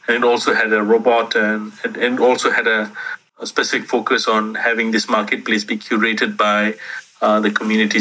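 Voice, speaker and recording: A man's voice: fairly low pitch, sharp tone, poor audio. A man who grew up in Singapore, a startup founder telling his story as an interview guest in a reflective, meandering way with self-deprecating humor. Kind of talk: interview answer, personal storytelling.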